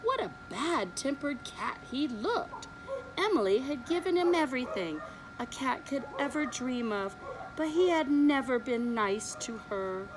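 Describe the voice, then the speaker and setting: A preschool teacher reading a picture book aloud to young children, slowly and expressively, pointing out the pictures. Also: female voice, high-pitched, noisy background. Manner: slow, expressive read-aloud, showing pictures.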